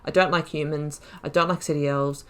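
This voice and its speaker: A woman's voice: low-pitched, fairly even intonation, fairly quick, clear, resonant tone. A woman giving casual, rambling and reflective game commentary as she thinks aloud through a playthrough. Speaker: gaming video host.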